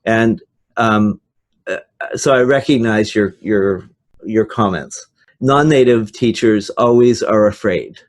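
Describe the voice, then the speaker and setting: An older professor speaks over a video call from his office with a low voice. He talks very slowly and frankly, pausing and restarting as he thinks. He sounds like a seasoned teacher trainer. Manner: thoughtful, frank, many hesitations.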